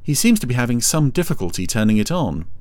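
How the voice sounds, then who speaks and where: A man voicing a polite, mildly puzzled girl in a children's story, gentle and matter-of-fact. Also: mid-range voice, very animated, quick pace, a little hiss or hum, pitched above the performer's own voice, natural voice.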